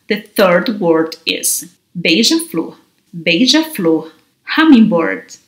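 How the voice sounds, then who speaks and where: Female teacher in her 30s, bright tone, unhurried and gentle. Recorded in a bare, echoey room. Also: lowish voice. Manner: gentle, enunciates each word slowly. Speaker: language teacher. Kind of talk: slow, careful teaching to camera. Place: large, bare indoor room. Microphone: camera mic at desk distance. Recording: roomy echo of an empty room.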